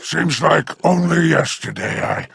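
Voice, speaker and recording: Slow, gravelly schemer; bright edge, wheedling game villain. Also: male voice, a little high, dry recording, exaggerated voice. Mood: sly. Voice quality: gravelly, drawling. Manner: wheedling and theatrical. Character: sly, smug alien crime lord, older male. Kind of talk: video game voice acting.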